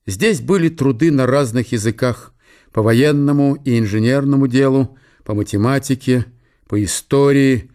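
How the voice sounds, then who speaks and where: A man's voice: medium pitch, moderate pace, warm tone. A man reading scripted literary narration for a historical audio drama.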